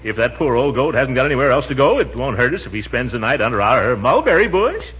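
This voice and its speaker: An actor playing a pompous, flustered but soft-hearted middle-aged uncle in a 1940s radio comedy, stammering and chuckling. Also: male voice, medium pitch, very animated, fast talker, close to an everyday voice. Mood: softening, sheepish. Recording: muffled, noisy 1940s radio broadcast.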